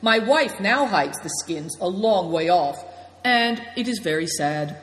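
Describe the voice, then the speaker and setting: A woman's voice: medium pitch, lively intonation, measured pace. A woman reading as a humble, rueful nomad host. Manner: humble, gently melancholy. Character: modest, courteous middle-aged nomad man host. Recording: echoey room with long reverb.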